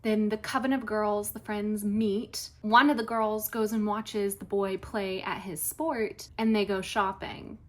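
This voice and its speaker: A woman with a cold, a little nasal, chatting casually in a vlog and often dropping to a soft whisper. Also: mid-range voice, level tone, unhurried, hardly any pauses. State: has a cold. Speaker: writer and vlogger.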